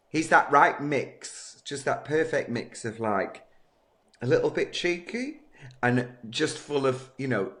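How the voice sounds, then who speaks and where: Hoarse, playful man. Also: mid-range voice, fairly bright tone. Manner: camp, playful, jokey. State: hoarse from a cough. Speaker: co-host. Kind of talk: casual chat.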